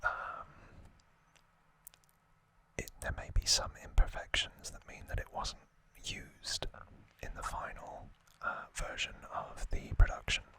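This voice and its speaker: Whispering man, bright and breathy ASMR. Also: slow pace, poor audio. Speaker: ASMR video host. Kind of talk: whispered ASMR unboxing commentary.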